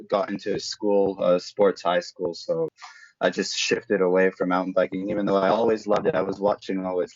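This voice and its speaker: A man from Slovakia, a native Slovak speaker, chatting casually in an interview as a former pro athlete, searching for words as he goes. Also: low voice. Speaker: former professional road cyclist turned mountain bike racer, interviewee. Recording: dull lo-fi audio.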